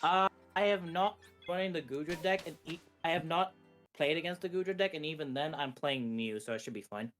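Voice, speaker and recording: A man's voice: a little high, a little fast, fairly bright tone, faint music behind. A man giving casual, jokey live commentary on a speedrun, often repeating and rephrasing himself.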